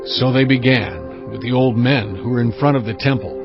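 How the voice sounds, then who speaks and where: Man narrating scripture over music. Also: mid-range voice. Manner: measured, steady storytelling delivery. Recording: dull lo-fi audio with music bed.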